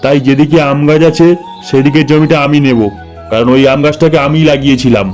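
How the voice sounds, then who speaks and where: A man acts a dishonest elder brother in a village cartoon. His voice sits a little high, and he talks in a bossy, scheming way. Soft music runs underneath. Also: fairly even intonation, fairly quick, natural voice. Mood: self-serving.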